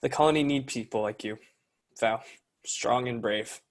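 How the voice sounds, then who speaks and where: Man playing a meek teenage boy, medium pitch. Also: moderate pace, close to an everyday voice. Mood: wistful admiration. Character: puny, meek, self-deprecating teenage boy. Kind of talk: science fiction script table read.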